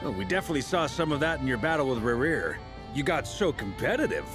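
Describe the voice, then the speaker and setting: A man's voice: mid-range voice, sing-song, fast, music in the background, close to an everyday voice. Guy doing a chill teasing young hero buddy, mid voice w lots of movement, anime game.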